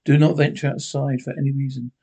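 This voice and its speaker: A man reading an emergency radio news bulletin in a measured, formal way. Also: mid-range voice, flat delivery, vocal fry, warm tone, husky.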